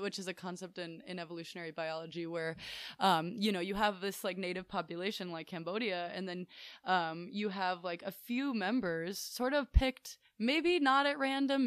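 A woman's voice: medium pitch, fairly bright tone, no echo. A young woman in casual podcast conversation, thinking aloud with fillers and restarts. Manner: thoughtful, rambling with restarts and fillers.

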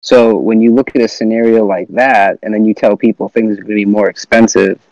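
A man's voice: lowish voice, steady tone, fairly quick, darkish tone, dry recording. A man in his twenties chatting casually about politics in an opinionated, slangy way, recorded on dull lo-fi audio. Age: 20s. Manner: opinionated, informal, slangy with profanity.

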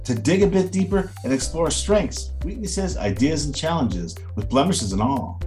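Male podcast host with an animated delivery. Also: fairly high pitch, normal speed, soft background music. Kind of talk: podcast intro and conversational interview.

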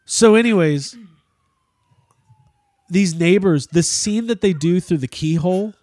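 A man's voice: sits high, unhurried, a little mellow, no room sound. A man hosting a casual podcast chat about a film, rambling and jokey, swearing freely. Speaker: podcast host.

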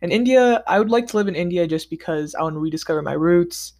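A man vlogging in a casual, upbeat monologue, chatty and easygoing with relaxed slang. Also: high-pitched, fast. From United States. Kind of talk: casual scripted monologue ranking countries.

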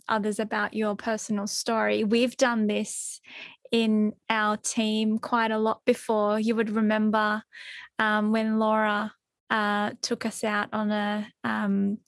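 A woman's voice: medium pitch, dry recording, clean studio sound. A woman hosting a workplace podcast talks in a chatty, friendly way, with plenty of fillers and restarts. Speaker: podcast host, team member.

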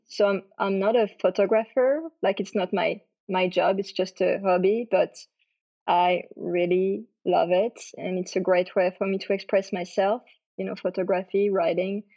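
A woman in her thirties from France with a French accent, answering interview questions in a relaxed way with many fillers. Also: medium pitch, highs cut off. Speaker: guest author.

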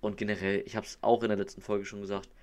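A man's voice: lowish voice, little pitch movement, quick pace, darkish tone. A man from Hamburg co-hosting a podcast in easygoing, casual chat. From Hamburg, northern Germany. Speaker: podcast co-host.

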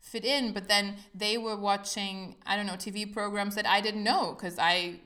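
A woman's voice: mid-range voice, steady tone, normal speed, pushed and bright. A woman podcast host who was born in Italy and grew up in Germany, chatting casually in a rambling way with many "um"s and "like"s. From Germany, Italian-born. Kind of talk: casual conversational chat.

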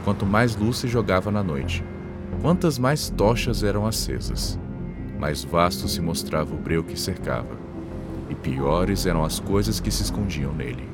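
Deep solemn male narrator over loud music. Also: lively intonation, unhurried. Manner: solemn, dramatic. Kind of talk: scripted dark horror prologue narration.

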